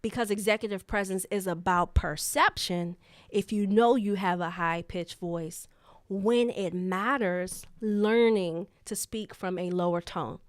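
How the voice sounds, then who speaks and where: Woman coaching with deliberate, repeated emphasis. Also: no room sound, studio quality. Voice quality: naturally high voice brought down to a lower tone. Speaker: leadership coach. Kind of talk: casual talk to camera.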